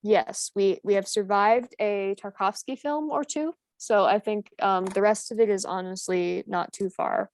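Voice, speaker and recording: A woman co-hosting a livestream in casual chat, upbeat and quick to agree, talking over a video call. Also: mid-range voice, no room sound. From United States. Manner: upbeat, quick agreeing interjections, frequent laughs. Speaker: co-host of a translation livestream.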